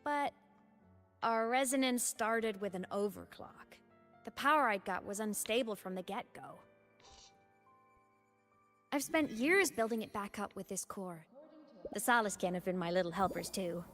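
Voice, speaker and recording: A woman's voice: mid-range voice, measured pace, pauses often, fairly bright tone, faint music behind, close to an everyday voice. A woman voicing a playful, teasing digital ghost girl in a video game, breezy and casual but determined. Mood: wistful, breezy. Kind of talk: video game story voice acting.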